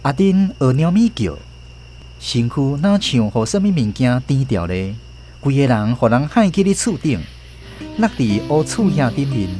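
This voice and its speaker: A man with a Taiwanese accent narrating a scripted radio storybook drama in an expressive storytelling manner. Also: medium pitch, normal speed, soft background music.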